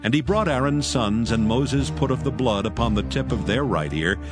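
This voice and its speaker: A man narrating scripture in a steady, reverent, measured way for a dramatized audio Bible. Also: medium pitch. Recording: narration over clear music. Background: music.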